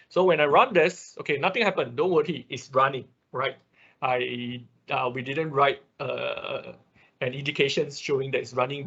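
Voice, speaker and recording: A man's voice: mid-range voice, gravelly, fairly bright tone, breathy edge. A man who is a non-native English speaker, teaching a live online programming tutorial step by step and often checking in with okay and right. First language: not English. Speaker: instructor of an online robotics programming class. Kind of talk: live tutorial with screen demonstration. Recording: dull, lo-fi online class audio.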